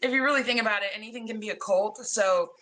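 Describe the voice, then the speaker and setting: Bright-voiced Spanish-native woman, rambling. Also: fairly high pitch, slight background noise. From Mexico. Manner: halting, rambling with fillers and laughs. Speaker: comedy producer guest. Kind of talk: casual podcast chat.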